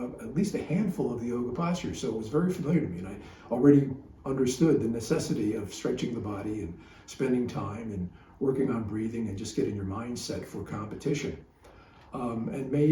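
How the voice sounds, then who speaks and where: A man in his seventies hosting a reflective podcast, talking in an unhurried, hesitant way. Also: on the low side, dark tone, echoey room, slight background noise. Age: 70s. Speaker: podcast host, retired psychotherapist. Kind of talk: reflective personal podcast talk.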